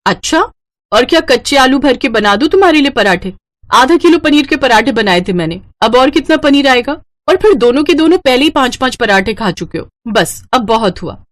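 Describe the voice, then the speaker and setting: A woman voicing an exasperated but dutiful young daughter-in-law in an animated story, with an emphatic, complaining manner. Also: high voice, normal speed, pitched above the performer's own voice. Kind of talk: animated moral-story cartoon voice acting.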